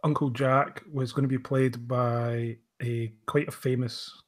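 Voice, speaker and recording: Male show creator, medium pitch, informal interview answers. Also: flat delivery, unhurried. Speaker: audio drama writer and director.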